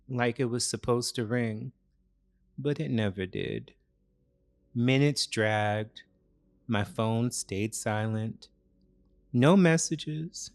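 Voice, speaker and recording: A man narrating a scripted first-person psychological thriller in a deliberate way, with short weighted phrases and dramatic pauses. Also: medium pitch, vocal fry. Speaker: story narrator.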